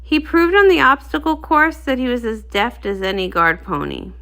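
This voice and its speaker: A woman reading a storybook aloud as an expressive narrator. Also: fairly high pitch, normal speed.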